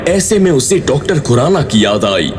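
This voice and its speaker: A man's voice: medium pitch, very animated, moderate pace, noisy background. A man narrating a scripted audio drama in a dramatic storytelling style.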